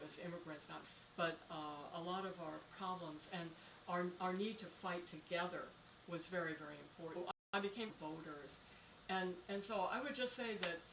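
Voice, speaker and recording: A woman in her sixties speaking as a labor organizer on a panel, giving a personal account to an audience. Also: sits low. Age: 60s. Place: roomy indoor public panel event. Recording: echoey, distant, noisy live event stream.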